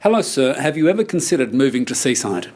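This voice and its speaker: A man's voice: medium pitch, very animated, fast, noisy background, natural voice. A man playing a polite, slightly awkward local radio host in a comedy show. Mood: announcing. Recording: loud music behind the voice.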